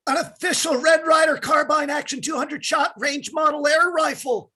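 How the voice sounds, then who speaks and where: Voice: high voice, steady tone, moderate pace, bright, forceful tone. A performer playing an eager, scheming young schoolboy in a readers theatre comedy, blurting and wheedling.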